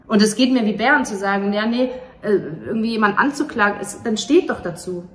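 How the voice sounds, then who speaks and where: A woman's voice: medium pitch, fairly quick. A woman in her thirties speaking emphatically and earnestly in a casual interview. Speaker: playwright and actor, interview guest. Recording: echoey room, faint ambient noise.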